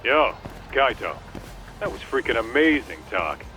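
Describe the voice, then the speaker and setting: An actor with an American accent playing a brash, bossy sidekick in a video game, blunt and streetwise. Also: male voice, mid-range voice, very animated, unhurried, bright, forceful tone, soft background music, poor audio. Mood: upbeat, scheming.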